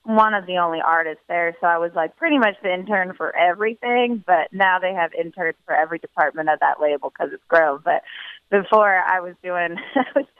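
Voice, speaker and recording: A woman's voice: fairly low pitch, fairly quick, clean tone, no room sound. A young woman from Pennsylvania, a singer, chatting casually as an interview guest with lots of laughs and restarts over the phone. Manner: chatty, giggly, many restarts. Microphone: telephone. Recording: tinny phone line.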